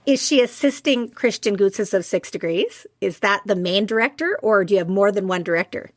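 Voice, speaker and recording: A woman's voice: mid-range voice, fairly quick, dry recording, studio quality. A middle-aged woman co-hosting a podcast, asking direct questions in a chatty way. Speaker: podcast co-host. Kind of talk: interview questions.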